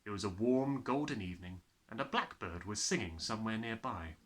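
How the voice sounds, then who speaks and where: A man reading a children's story as an expressive narrator. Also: on the low side, fairly quick.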